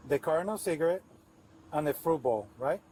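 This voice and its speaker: A man's voice: on the high side, animated, a little fast, a little mellow, slight background noise, poor audio. A man with a Puerto Rican accent, a police officer patiently explaining a case step by step at a front door. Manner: patient, detailed, methodical. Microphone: body camera.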